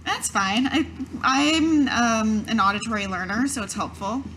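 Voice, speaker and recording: A woman's voice: on the high side, measured pace, fairly bright tone, low-quality recording. Conversational woman roleplaying, faint background noise. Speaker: tabletop game player. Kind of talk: improvised in-character roleplay in own voice.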